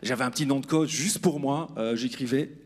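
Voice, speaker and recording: A man's voice: mid-range voice, fairly quick. A man performing stand-up on stage, self-deprecating and speaking directly to the audience. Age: 40s. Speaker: stand-up comedian. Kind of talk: stand-up comedy monologue to an audience. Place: live comedy club stage.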